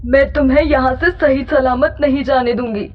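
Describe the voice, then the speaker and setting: A woman's voice: high voice, fairly even intonation, normal speed, highs cut off, faint music behind. A woman voicing a menacing witch spirit that haunts a house in a horror story. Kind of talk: Hindi horror story dramatization.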